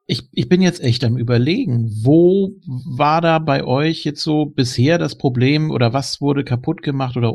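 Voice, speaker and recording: Hesitant, gravelly-voiced man. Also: mid-range voice, darkish tone, no echo. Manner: hesitant, musing, many fillers. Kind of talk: casual discussion.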